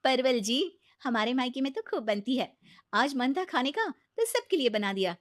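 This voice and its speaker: A woman playing young daughter-in-law, high pitch, earnest, polite, dutiful, dry close sound, moral story. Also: very animated, moderate pace, no room sound. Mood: eager, polite. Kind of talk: animated Hindi moral story dialogue.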